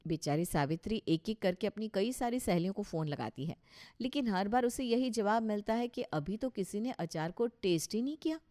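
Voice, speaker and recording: A woman's voice: mid-range voice, lively intonation, moderate pace, mellow. A woman reading scripted narration for a story as its narrator.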